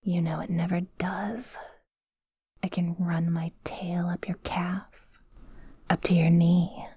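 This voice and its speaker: A possessive female demon, acted by a woman whispers in a very breathy voice, close and dry. She draws her words out very slowly, taunting and intimate, in a menacing ASMR roleplay style. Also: on the low side, little pitch movement, dark tone, dry recording, heavily acted. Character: possessive, menacing, teasing female yandere sleep paralysis demon.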